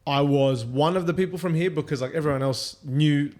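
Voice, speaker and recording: A man's voice: a little high, brisk, darkish tone. A man with an Australian accent, a podcast co-host telling stories in a casual, rambling way with lots of fillers. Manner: rambling, filler-heavy storytelling. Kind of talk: casual chat.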